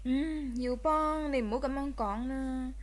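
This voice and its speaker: An actress playing a gentle, devoted and coy young wife in a radio drama, soothing in manner. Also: female voice, sits high, steady tone, unhurried. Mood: gentle, reassuring. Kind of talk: Cantonese radio drama acting.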